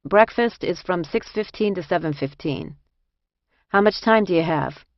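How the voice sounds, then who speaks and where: A woman's voice: fairly low pitch, animated, normal speed, no echo, highs cut off. Woman doing a practical middle-aged Jersey inmate. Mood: matter-of-fact. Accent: New Jersey. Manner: matter-of-fact, practical. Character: small, tired fifty-ish New Jersey woman inmate, helpful. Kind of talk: audiobook character dialogue.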